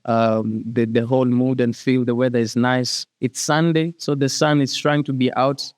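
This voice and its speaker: A man with a Kenyan accent speaks as an interview guest. His voice is clear and smooth at a middling pitch, recorded dry, and he talks in a casual, conversational way. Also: little pitch movement, normal speed, dry recording. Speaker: musician guest, singer and rapper.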